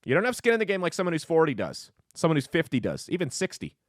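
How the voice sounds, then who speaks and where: Sarcastic young man with lively pitch. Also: fast talker, dry recording, studio quality. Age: 20s. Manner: sarcastic, mocking. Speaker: political commentary host. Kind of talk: opinionated political commentary.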